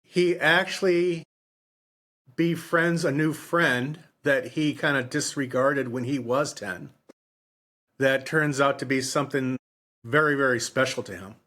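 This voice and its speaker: Halting man in his fifties, casual podcast talk. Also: medium pitch, fairly even intonation, bright, forceful tone. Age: 50s. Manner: halting, circling back and repeating phrases. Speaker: podcast host. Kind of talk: casual book discussion.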